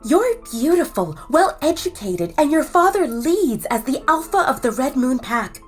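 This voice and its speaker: A young female werewolf, chatty and persuasive, who teases and flatters her friend. The voice sits high and swoops about lively. Soft music hums behind her in this audio drama. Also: moderate pace. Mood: coaxing. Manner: eager, persuasive, teasing. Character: gushing young woman werewolf, the bride's friend.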